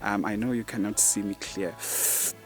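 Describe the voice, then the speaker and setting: A man's voice: lowish voice, fairly even intonation, moderate pace, over music. South African man chatting casually outdoors. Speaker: vlogger. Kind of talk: casual chatty vlog talk. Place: outdoors on a mountain walk. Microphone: camera microphone, no separate mic.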